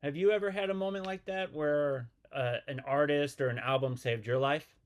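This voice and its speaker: A man's voice: fairly high pitch, fairly even intonation, moderate pace. A man hosting a podcast, asking questions in a friendly, enthusiastic way, with plenty of "you know" and "like". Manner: friendly, enthusiastic, talks with filler phrases. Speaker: podcast host. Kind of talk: casual interview chat.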